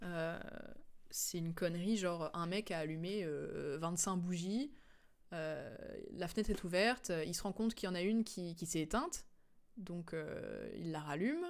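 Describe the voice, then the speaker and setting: A woman's voice: fairly low pitch, expressive, unhurried. A young adult woman giving casual, rambling game commentary as a streamer, in informal, slangy speech.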